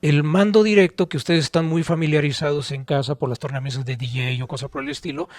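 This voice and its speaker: A man's voice: fairly high pitch, darkish tone, dry recording. A Mexican man, middle-aged or older, chatting casually as a podcast co-host in an enthusiastic, explanatory, emphatic way. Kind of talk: casual conversational talk recalling radio technology and history.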